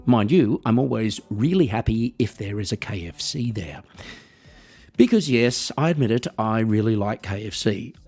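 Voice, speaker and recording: An Australian man hosting a podcast, delivering a wry, rambling, mock-earnest comedic monologue with a husky voice. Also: on the low side, expressive, moderate pace, a little mellow, faint music behind. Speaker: podcast host.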